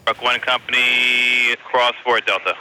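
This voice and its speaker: A man's voice: mid-range voice, little pitch movement, unhurried, bright, forceful tone, poor audio. A man working as an air traffic controller, giving clipped instructions over a radio transmission.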